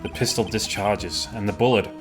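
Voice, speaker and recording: A man's voice: on the low side, moderate pace, music in the background, lightly acted. A man acting as an earnest young defense attorney in a video game fan dub, hesitant and nervous. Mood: tentative.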